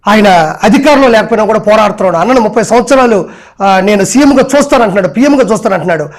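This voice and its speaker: A man's voice: sits high, very fast, soft background music. A man delivering a heated political roast with pointed questions.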